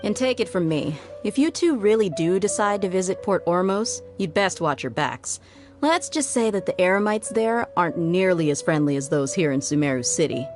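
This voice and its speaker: A woman's voice: lowish voice, expressive, normal speed, music in the background, natural voice. An actress playing a frank, savvy young woman who works as a mercenary guard in a video game, speaking candidly and conversationally. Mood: frank, advising.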